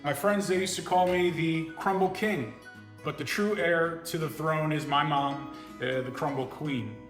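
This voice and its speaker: A man's voice: on the high side, steady tone, a little slow, a bit bright. An American man from Pennsylvania, a home cook giving an earnest video message to a show. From Pennsylvania, United States. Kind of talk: earnest presentation to camera. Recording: roomy echo, guitar music underneath.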